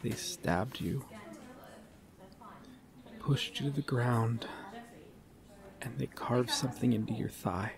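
A man playing an earnest, guarded police detective in an ASMR audio drama, speaking haltingly with long pauses. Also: medium pitch, vocal fry, a crowd in the background. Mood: grave, methodical. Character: earnest, guarded male police detective questioning someone he cares for. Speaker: voice actor in an audio roleplay. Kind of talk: ASMR roleplay drama, acted one-sided dialogue.

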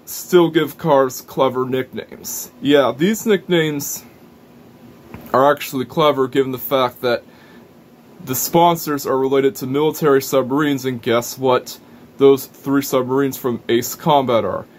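A man's voice: mid-range voice, steady tone, a little slow. Rambling young man vlogging at home. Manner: rambling, self-correcting, explanatory. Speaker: racing video reviewer. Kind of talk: casual vlog commentary. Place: small indoor room full of toy shelves. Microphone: camera or webcam in front of him.

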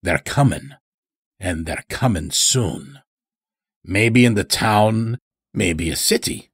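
A man's voice: fairly low pitch, lively intonation, slow, breathy edge, dry recording, clean studio sound, exaggerated voice. A man voicing a weary, sly old blinded werewolf elder with a folksy, rustic drawl in an audio horror story. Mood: weary, coaxing.

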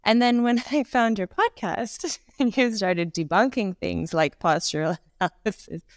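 A woman in her thirties with a Canadian accent, a Pilates instructor chatting as a guest on a video call, talking with lively gestures and lots of fillers. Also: medium pitch, a little slow, no echo, clean studio sound. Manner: chatty, animated hand gestures, frequent fillers. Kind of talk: casual conversational interview. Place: home room, indoors.

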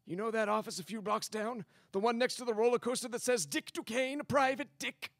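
An actor playing a scheming, haughty crime boss who gives commands, in a comic radio play. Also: male voice, very high-pitched, a little fast, vocal fry, a bit bright, dry recording, studio quality, exaggerated voice. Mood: commanding. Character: scheming, bossy crime boss with squeamish taste.

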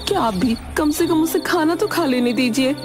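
A woman's voice: sits high, lively intonation, normal speed, over music, pitched above the performer's own voice. A woman voicing a homely, caring but nagging village mother in a cartoon story.

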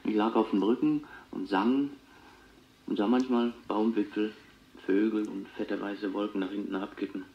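An actor playing a clairvoyant who recalls his childhood in a measured, reflective way on a taped radio drama interview. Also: fairly low pitch, steady tone, lightly acted. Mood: somber, haunted. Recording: dull tape recording with faint noise.

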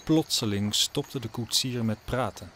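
A man narrating an audio drama as a scripted story, deliberately, with suspenseful pauses. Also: medium pitch, a bit bright, slight background noise. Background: music.

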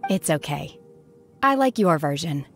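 A woman's voice: deep voice, big pitch swings, unhurried, exaggerated voice. A woman playing a reserved, solemn young woman raised by immortals in an anime game, stoic and formal. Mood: earnest, subdued. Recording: faint game music behind.

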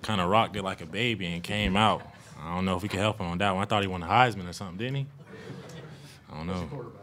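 A young man, a college football player, answering questions at a postgame press conference in a relaxed, joking way, with lots of "you know". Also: low voice, fast talker. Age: young adult. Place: press room in front of a logo backdrop. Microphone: table mic on a stand.

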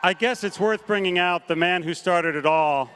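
A man on a large convention stage with a handheld microphone, introducing actors one by one and shouting their names over the crowd. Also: high voice, normal speed, very noisy. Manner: announces names with rising, shouted emphasis. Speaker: studio head presenting a convention panel.

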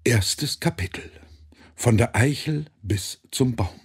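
A man's voice: medium pitch, expressive, slow pace, breathy edge. A middle-aged man reading a children's storybook as scripted audiobook narration. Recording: nature ambience and birdsong behind voice. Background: birds, forest ambience.

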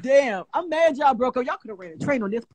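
A brash, flirty man in his twenties speaks high and husky over a phone video call. The sound is close and dry. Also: lively intonation, quick pace, no room sound. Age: 20s. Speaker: livestream guest. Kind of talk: casual flirty livestream chat.

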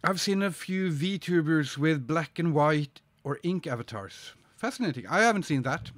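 A man in his forties with a slight cold, chatting slowly and hesitantly with a live audience while he draws at his desk, with plenty of ums and uhs. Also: a little high, expressive, fairly bright tone. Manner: slow, musing, hesitant with many fillers, reads chat aloud. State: sniffly with a cold. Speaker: artist streaming live. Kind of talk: casual live-stream chat while drawing. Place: home studio desk, indoors.

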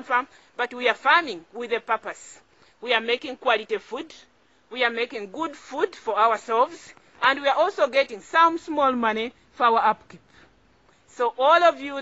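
A woman's voice: fairly high pitch, bright, forceful tone. A young Ugandan woman speaking informally to camera as a farming host, encouraging and emphatic, repeating phrases for emphasis. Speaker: farmer and video host. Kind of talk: informal instructional vlog to camera. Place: small outdoor home garden. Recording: dull, lo-fi camera audio.

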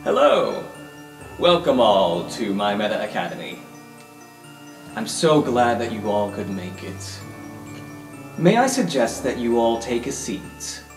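A man's voice: mid-range voice, very animated, a little slow, long pauses, roomy sound, distant mic, soft background music. A man voicing a suave villainous mentalist who addresses captive students in a grandiose, formal way, in a tabletop roleplay podcast. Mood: welcoming, grandiose.

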